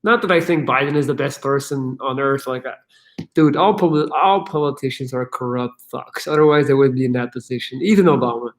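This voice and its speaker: A man hosting a casual livestream Q&A, answering viewer questions in an energetic, profane way with many fillers. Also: mid-range voice, fairly quick, a little hiss or hum.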